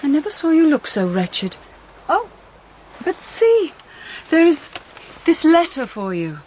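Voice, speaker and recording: An actress playing a practical, outspoken middle-aged mother in a period drama, brisk and emphatic. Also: sits high, sing-song, darkish tone, a little hiss or hum, heavily acted, poor audio. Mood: coaxing, concerned. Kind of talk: period audio drama acting.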